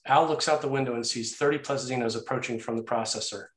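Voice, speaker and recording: Guy narrating a screenplay, mid pitched voice, echoey room. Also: fairly even intonation, fast. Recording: roomy, echoey sound.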